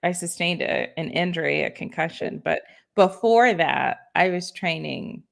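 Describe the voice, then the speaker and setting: A woman's voice: fairly low pitch, expressive, measured pace, gravelly. A young woman from Texas hosting a podcast, eager and chatty, often stumbling and repeating words. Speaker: podcast host. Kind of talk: casual interview chat.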